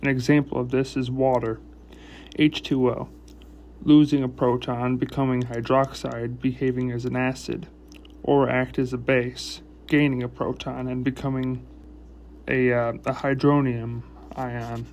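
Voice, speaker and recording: Male study-review host, slow and halting, flat delivery, some background noise. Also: mid-range voice. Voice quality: speech impediment, trips over long words. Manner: halting, deliberate, choppy phrasing. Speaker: educational video host reviewing math and chemistry. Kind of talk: informal explanatory lesson, part read from notes.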